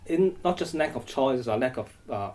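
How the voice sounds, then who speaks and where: A man's voice: medium pitch, expressive, normal speed, darkish tone. A middle-aged man from Hong Kong, answering interview questions hesitantly, with many restarts and repeated words. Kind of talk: oral history interview.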